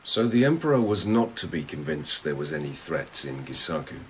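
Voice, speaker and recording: An actor playing a shrewd, plain-spoken lieutenant in a radio drama, questioning in a direct, probing manner. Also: male voice, a bass voice, sing-song, normal speed, husky, exaggerated voice, poor audio. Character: shrewd, plain-spoken young-ish male lieutenant investigator.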